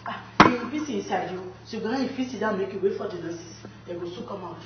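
An actress playing a brusque, excitable clinic cleaner in a TV drama, speaking with a Nigerian accent and many exclamations. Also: female voice, medium pitch, quick pace, noisy background, natural voice. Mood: casual. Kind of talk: Nigerian Pidgin TV comedy-drama dialogue. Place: tiled clinic reception hall. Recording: muffled, echoey room.

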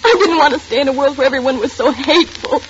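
A woman's voice: sits high, fast talker, close to an everyday voice. An actress playing a sulky, distraught, self-dramatizing teenage schoolgirl in a 1940s radio drama. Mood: subdued, defensive. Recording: noisy, muffled 1940s radio recording.

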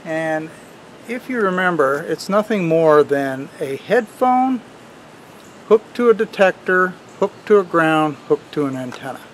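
Male, middle-aged hobby host, slightly high pitch, casual and explanatory, camera audio with faint noise. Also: lively intonation, unhurried. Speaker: host of a radio restoration channel. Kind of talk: hands-on demonstration to camera. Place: outdoors on a wooden deck or driveway, also at a workbench.

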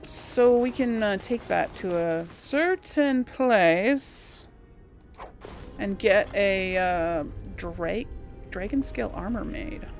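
A woman's voice: medium pitch, lively intonation, slow pace. A woman giving casual gameplay commentary, thinking aloud in trailing fragments over game music. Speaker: gameplay host.

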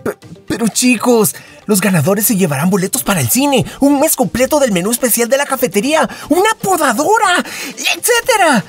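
Man acting an excitable, scheming teenage boy. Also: very high-pitched, normal speed, hardly any pauses, breathy edge, soft background music, exaggerated voice. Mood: eager. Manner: eager, wheedling, expressive. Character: excitable, scheming, upbeat teenage hero student. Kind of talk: comic fandub voice acting.

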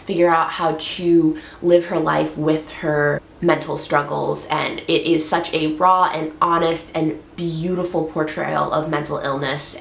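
A woman's voice: low-pitched, gravelly, a bit bright. A young woman in her twenties with a head cold, chatting upbeat about books on her vlog. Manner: chatty, upbeat, frequent fillers. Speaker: book vlogger. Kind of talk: casual book review vlog. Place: bedroom, indoors. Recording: echoey room.

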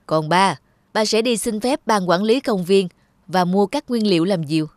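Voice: medium pitch, normal speed, no echo, clean studio sound, close to an everyday voice. An actor voicing a playful, kindly father in a cartoon, teasing yet reassuring. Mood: caring, encouraging. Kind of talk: cartoon dubbing. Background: music.

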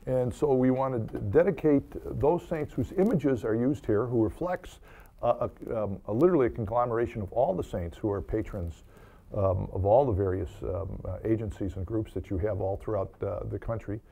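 Older American man, low gravelly voice. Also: mellow. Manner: halting, with repeated words. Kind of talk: informal remarks and blessing at Mass. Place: small chapel, indoors.

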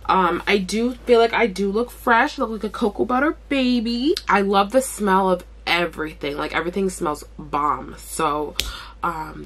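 Young woman w a gravelly lowish voice, chatty skincare vlog at home, bit of hiss behind. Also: a bit bright. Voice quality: raspy. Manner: chatty, talks while applying products. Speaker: beauty vlogger. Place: bedroom at home.

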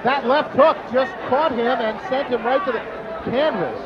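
A man's voice: very high-pitched, normal speed. A man commentating on a televised boxing match. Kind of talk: live TV fight commentary. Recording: dull old TV broadcast, crowd noise. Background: faint arena crowd.

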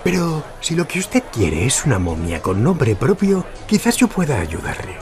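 An actor playing a discreet, courteous Lebanese antiquities smuggler with a polite, guarded manner in an audio drama. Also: male voice, medium pitch, huge pitch swings, normal speed, over music. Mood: insinuating.

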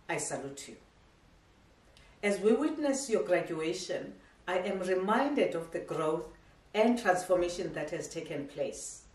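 A middle-aged woman gives a prepared graduation address in a measured, ceremonial tone. Her voice is low for a woman. She is recorded from across a living room, so the sound is echoey and distant. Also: darkish tone. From South Africa. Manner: measured, ceremonial delivery with slight stumbles. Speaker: academy head giving a graduation speech. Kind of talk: formal prepared speech read aloud. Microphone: camera across the room.

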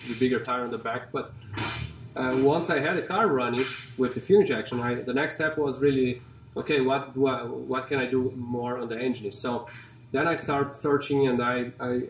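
A man in his forties with a Brazilian accent, talking casually and haltingly about his car in a large garage showroom, with many fillers and restarts. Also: mid-range voice, a little hiss or hum. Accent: Brazilian Portuguese. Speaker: company CEO and car collector. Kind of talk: casual walkaround interview. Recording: roomy and distant in a garage.

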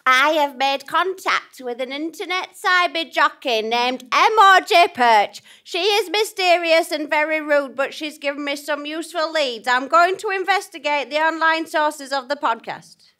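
A woman voicing an excitable investigator reading case notes theatrically in a live comedy sketch. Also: sits high, moderate pace. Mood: self-important.

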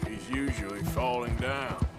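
An actor playing a rough American outlaw gunslinger in a video game, dry and sarcastic with understated menace. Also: male voice, medium pitch, measured pace, loud music behind the voice, natural voice. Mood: wry, reassuring. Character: rough, sardonic, long-haired bearded outlaw gunslinger. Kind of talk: video game cutscene dialogue.

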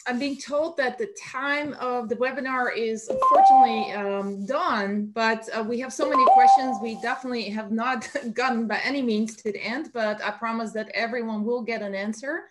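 40s woman running q&a on a webcam, slightly high voice, some ums. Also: fairly even intonation, normal speed, hardly any pauses, poor audio. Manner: reading questions with fillers. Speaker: webinar moderator. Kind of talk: moderating questions. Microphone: video call webcam.

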